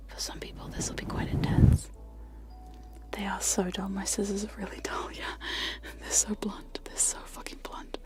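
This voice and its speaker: A young woman talks almost entirely in a soft, breathy whisper right up against close microphones. Her pitch sits in the middle range for a woman. She chats casually and giggles, often poking fun at herself as she sets up gentle ASMR trigger sounds. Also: monotone, normal speed. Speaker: ASMR streamer. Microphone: close sensitive microphones.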